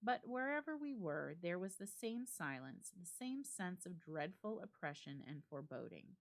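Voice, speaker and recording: A woman giving a dramatic reading of a classic ghost story, with measured, expressive phrasing and deliberate pauses. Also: fairly low pitch. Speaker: library staff member reading a story aloud.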